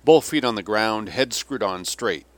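A man's voice: fairly low pitch, animated, unhurried, no echo. A man reading an audiobook as a weary, vulgar old Russian general, sardonic and theatrical, given to sneering rants. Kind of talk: dramatic audiobook character reading.

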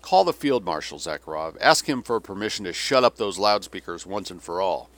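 A man's voice: low voice, lively intonation, a little fast, fairly bright tone, no echo. A man reading an audiobook as a weary, vulgar old Russian general, sardonic and theatrical, given to sneering rants. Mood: resigned, cynical. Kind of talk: dramatic audiobook character reading.

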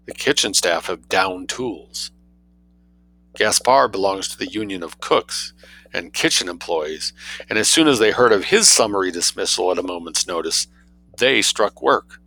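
A man voicing a grave, formal butler who speaks slowly and deferentially. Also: sits low, animated, sharp tone, heavily acted, low-quality recording. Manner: slow, solemn, deferential.

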